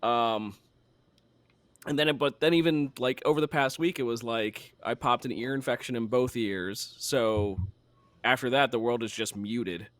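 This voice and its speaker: A man co-hosting a casual chat, his voice a little raspy and hoarse from a cold, talking in a wry, self-deprecating way. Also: medium pitch, normal speed, fairly bright tone, dry recording. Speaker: podcast co-host.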